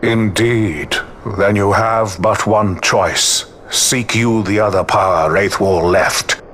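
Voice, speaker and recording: An actor playing an ancient, serene non-human seer in a fantasy game, speaking solemnly with archaic, oracular phrasing. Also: male voice, lowish voice, slow pace, a bit bright, slight background noise. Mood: stern, knowing. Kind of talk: dramatic video game voice acting.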